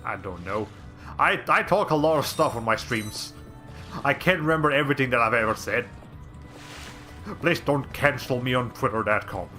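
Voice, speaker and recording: A male streamer with a raspy voice giving casual, rambling commentary while playing a game, often restarting his sentences. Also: medium pitch, lively intonation, normal speed, long pauses, pushed and bright, faint music behind. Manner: rambling, chatty, frequent restarts.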